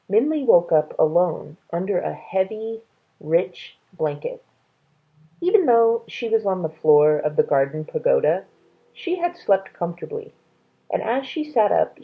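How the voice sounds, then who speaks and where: A woman's voice: fairly low pitch, husky. A woman reading a children's novel aloud as a storyteller. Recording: band-limited, tinny sound.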